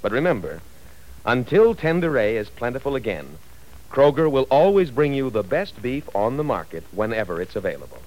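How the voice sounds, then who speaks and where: A man's voice: medium pitch, big pitch swings, a little fast, a little mellow, low-quality recording. A man reading a vintage radio commercial in an earnest, persuasive manner. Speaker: announcer.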